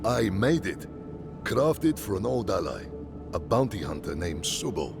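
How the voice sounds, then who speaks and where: Deep gravelly guild leader. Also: male voice, big pitch swings, measured pace, full character voice. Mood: wry, measured. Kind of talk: video game voice acting. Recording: loud music over the voice.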